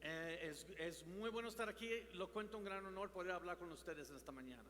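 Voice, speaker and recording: Man, quick live interpreting, high voice, reverberant hall. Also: slight background noise. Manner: quick, lively delivery with restarts and self-corrections. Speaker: ministry director and interpreter. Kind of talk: live interpreting into Spanish at a church conference. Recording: reverberant hall with faint ambience.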